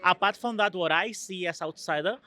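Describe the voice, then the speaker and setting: Earnest man, fairly high voice. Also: a little fast, sharp tone. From Spain. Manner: earnest, searching for words, non-native phrasing. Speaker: EU delegate guest. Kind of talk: conversational interview answers.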